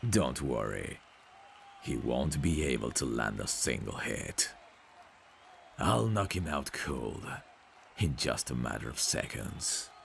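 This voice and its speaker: A man's voice: extremely low pitch, creaky, a little hiss or hum, full character voice. A man playing a cocky, smug prizefighter in an ASMR roleplay audio drama, with a boastful, teasing, self-assured manner. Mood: triumphant, boastful. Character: cocky, smug male prizefighter, proud of his looks. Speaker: voice actor.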